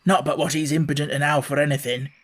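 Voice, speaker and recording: A man doing a gruff old Yorkshire gardener. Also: a little high, flat delivery, fast, close to an everyday voice. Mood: scolding. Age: older. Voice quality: gruff, scolding broad dialect.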